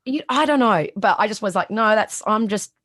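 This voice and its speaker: A woman in her thirties with a raspy voice and a strong Australian accent, talking bluntly as a guest in a casual interview. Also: mid-range voice, moderate pace, no room sound, studio quality. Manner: blunt, animated, swears freely. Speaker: sports reporter, guest.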